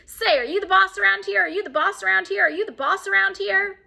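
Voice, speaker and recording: A woman voicing a rude, cheeky female pet parrot that repeats herself in a shrill, squawking voice. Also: fast talker, gravelly, pushed and bright, heavily acted.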